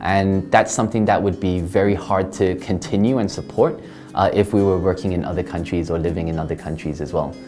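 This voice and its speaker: A deep-voiced man in his thirties from Hong Kong talks through an interview in an office, earnest and steady with plenty of ums. His lapel mic sits close, and a clear guitar track plays under his words. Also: brisk. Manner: earnest, steady, filler-laden answers. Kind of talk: casual interview answers. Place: office room indoors. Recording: music bed under voice. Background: soft guitar music.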